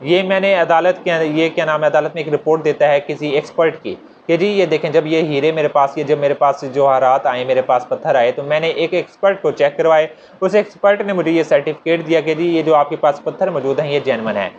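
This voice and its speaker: Higher-voiced Pakistani man lecturing, dull noisy recording. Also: a little fast, few pauses. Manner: conversational, acting out hypothetical examples, repeating points. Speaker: law lecturer. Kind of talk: informal explanatory law lecture. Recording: dull lo-fi audio with noticeable noise.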